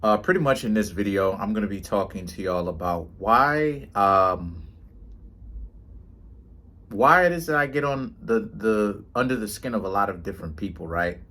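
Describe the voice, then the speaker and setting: Rambling New man, fairly low voice. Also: animated, normal speed, sharp tone. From Trinidad and Tobago, raised in New York City. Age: 30s. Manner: rambling, often tags lines with "right?" and "you know what I'm saying". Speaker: tarot reader and vlogger. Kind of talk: casual monologue to camera.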